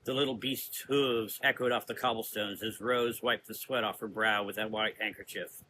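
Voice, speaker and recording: A man's voice: fairly low pitch, fairly even intonation, normal speed, bright, forceful tone, a little hiss or hum, rough recording. A man reading scripted narration for a gothic horror story.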